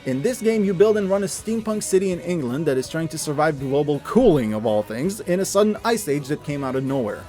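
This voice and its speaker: A man with a fairly high voice narrates an enthusiastic review over music. His delivery is scripted but informal, with effusive emphasis on what he recommends. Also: expressive, fairly quick, hardly any pauses, dark tone. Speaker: video reviewer.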